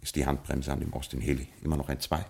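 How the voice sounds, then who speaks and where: An actor playing a ruined, absent-minded Scottish lord in a 1950s radio drama, with a stately, fatalistic manner. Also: male voice, extremely low pitch, flat delivery, quick pace, vocal fry, darkish tone, breathy edge, exaggerated voice. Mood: resigned stately.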